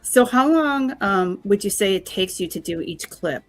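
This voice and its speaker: A woman hosts a casual online chat, her mid-pitched voice carrying a gravelly fry. She asks her guest questions in a friendly, talkative way. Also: animated, moderate pace, faint music behind. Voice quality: calls her own voice squeaky. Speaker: host.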